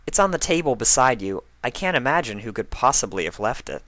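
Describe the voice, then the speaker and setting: A man as an eager, high-pitched young pony mare. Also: sing-song, fairly quick, a bit bright, no echo. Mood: playful. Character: young female pony magician, earnest and caring.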